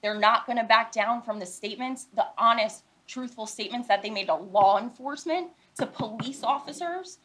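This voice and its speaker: An American woman lawyer giving a prepared statement at a news conference, speaking deliberately and emphatically and repeating her points in short phrases. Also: fairly high pitch, pushed and bright. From Philadelphia, United States.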